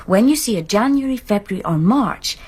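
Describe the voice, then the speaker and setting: An actress playing a knowledgeable woman scholar in a radio drama, explaining in a patient, measured, exact way. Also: mid-range voice, animated, a little mellow, slightly rough.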